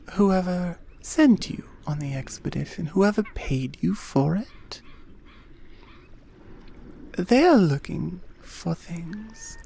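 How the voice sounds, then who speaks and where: A woman playing a regal, centuries-old Amazon queen in an ASMR roleplay, speaking softly and formally with deliberate pauses. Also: fairly low pitch, gravelly, breathy edge, heavily acted. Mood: wary, serious. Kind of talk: ASMR roleplay audio drama, addressed to the listener.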